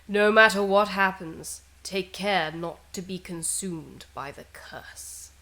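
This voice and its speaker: A woman streaming a game, chatting casually and reading aloud in a measured, dramatic way. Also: fairly low pitch, bright, forceful tone, low-quality recording.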